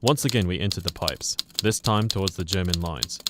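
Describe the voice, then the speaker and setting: A man's voice: sits low, a little fast, close to an everyday voice. A man playing a British war reporter, narrating his wartime memories in a radio drama. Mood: grave. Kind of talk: scripted first-person radio drama narration. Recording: very noisy track with clacking typewriter.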